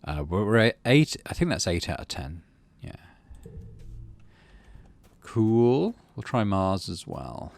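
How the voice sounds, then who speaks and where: A middle-aged man with a low voice talks through a video game in a casual way. His intonation moves around a lot, sliding from dry muttering to himself into animated reading of on-screen text. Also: takes it slowly, gravelly, pauses often, dry recording. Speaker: gaming channel host.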